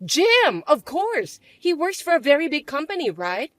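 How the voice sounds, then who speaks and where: A woman voicing a spoiled young stepsister who taunts and bosses in a shrill, mocking way. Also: sing-song, moderate pace, fairly bright tone, no echo, studio quality. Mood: taunting.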